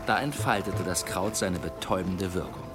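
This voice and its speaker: A man plays a boastful country storyteller in a radio play. His lowish voice relishes each detail in a wry, folksy, self-satisfied way, with music behind him. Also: normal speed, close to an everyday voice. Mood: relishing. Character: wry, boastful rustic storyteller of tall adventures. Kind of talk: German radio drama acting, storytelling.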